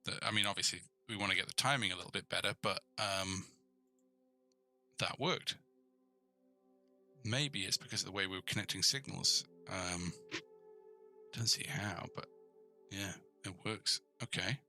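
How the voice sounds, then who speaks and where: Man, coding tutor, very bright sharp voice, slightly creaky, informal, thinking aloud, talking to the viewer. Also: low voice, moderate pace, pauses often, faint music behind. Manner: informal, talks to the viewer, checks with "right?". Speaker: programming tutor.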